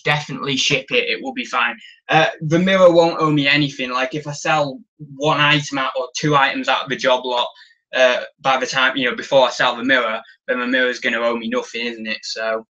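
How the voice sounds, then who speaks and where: A man's voice: medium pitch, steady tone, a little fast, sharp tone, dull audio. A young man on a casual live stream, showing auction finds and reading the chat, rambling with plenty of ums and restarts, recorded on a camera in a small room. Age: young adult. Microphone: camera on tripod.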